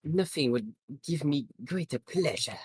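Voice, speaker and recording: A man's voice: on the high side, animated, measured pace, darkish tone, slightly rough, no echo, voice pushed up, exaggerated voice. A man voicing a smug, gloating witness in a video game courtroom, speaking theatrically and with relish. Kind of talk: fan dub of a video game.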